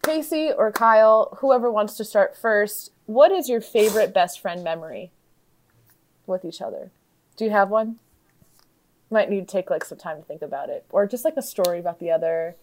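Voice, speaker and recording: A woman's voice: medium pitch, normal speed, a little mellow, slight background noise. A woman co-hosting a podcast in casual banter, playful and giggly, steering the conversation. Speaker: podcast co-host.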